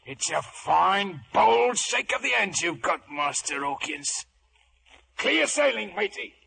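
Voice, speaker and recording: An actor playing a wily, roguish one-legged pirate sea cook who talks in rustic seafaring slang, in an old radio drama. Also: male voice, high-pitched, sing-song, slow, vocal fry, noisy background, full character voice. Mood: matey, coaxing. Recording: old radio broadcast, faint music.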